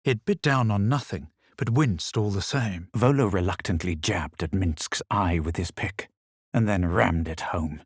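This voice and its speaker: A haughty fantasy game adventurer. He is a self-assured man with a low, slightly husky voice. His delivery is measured, theatrical and superior. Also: no room sound, clean studio sound, exaggerated voice. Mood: composed, measured. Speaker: video game voice actor. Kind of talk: fantasy game dialogue and narration.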